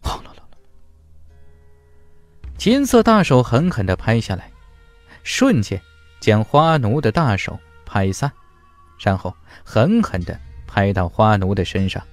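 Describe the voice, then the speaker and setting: A man's voice: fairly low pitch, very animated, a little slow, long pauses, no echo, soft background music. A man narrating a fantasy audiobook from a script in a dramatic, emphatic storytelling style.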